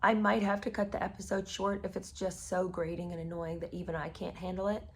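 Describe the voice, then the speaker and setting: Hoarse woman with a sarcastic edge. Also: lowish voice, flat delivery, fairly quick, a little hiss or hum. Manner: sarcastic, exasperated critique. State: hoarse, voice cracking. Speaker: podcast host. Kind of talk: casual commentary reading a memoir.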